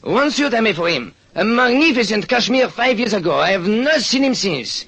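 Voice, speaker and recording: A man's voice: high voice, animated, moderate pace, sharp tone, pitched above the performer's own voice, full character voice. A man voicing a fussy, emphatic French tailor with a French accent in an old radio detective drama. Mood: emphatic denial. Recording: muffled, noisy old radio recording.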